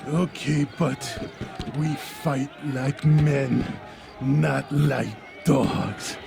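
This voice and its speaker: Gravelly, slow Mexican villain. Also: male voice, medium pitch, steady tone, a crowd in the background, background noise, exaggerated voice. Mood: cornered, defiant. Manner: slow, taunting. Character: menacing, swaggering Mexican soldier, a villain.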